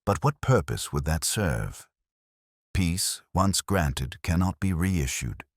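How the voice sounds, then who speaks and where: A man with an extremely low voice narrates a scripted detective story. His delivery is measured and solemn, with a literary turn and a pitch that moves expressively. The sound is close and dry. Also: expressive, dry recording.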